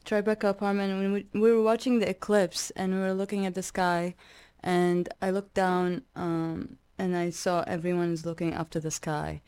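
Woman, from Iraq, young adult, low pitch, dry close sound, halting reflective talk. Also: darkish tone, smooth, dry recording. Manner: reflective, halting, many ums and restarts. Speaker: musician guest. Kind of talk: radio interview.

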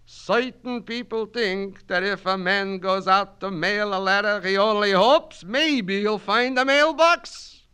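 A man's voice: sits high, takes it slowly, few pauses, clear, resonant tone, voice pushed up, full character voice. A man voicing a pompous immigrant night-school student who declaims in broken English. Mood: triumphant. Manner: broken grammar, declaiming and loud. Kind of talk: storybook reading.

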